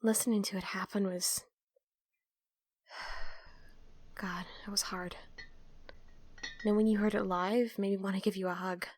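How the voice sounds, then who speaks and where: A woman's voice: mid-range voice, flat delivery, measured pace, long pauses, a little mellow, dry recording, natural voice. A woman playing a guarded, studious young roommate in an audio roleplay, with a dry, blunt, teasing way of talking. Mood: serious, guarded. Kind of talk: audio roleplay acting, casual dialogue.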